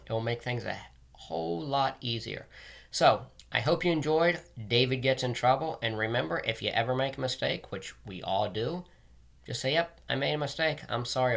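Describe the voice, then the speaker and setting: A man's voice: mid-range voice, creaky. A middle-aged man, a teacher talking to children in a plain, earnest, emphatic way, recorded on a webcam in a small room. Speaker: teacher and school administrator reading aloud to pupils. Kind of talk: read-aloud and plain advice to children. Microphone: webcam at desk distance.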